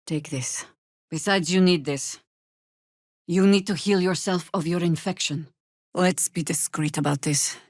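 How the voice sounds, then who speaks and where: A woman's voice: on the low side, measured pace, darkish tone, dry recording. A woman voicing a seasoned, wry, battle-hardened druid veteran in a fantasy video game, speaking in a dry, world-weary, commanding way. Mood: earnest.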